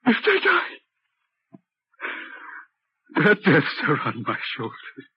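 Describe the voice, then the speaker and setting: A man's voice: on the high side, huge pitch swings, slow, breathy edge, heavily acted. An actor in a 1940s radio drama playing a haunted, guilt-ridden railway signalman, speaking in an anguished, agitated way with emphatic repetitions. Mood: anguished, despairing. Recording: muffled, noisy vintage 1940s radio recording.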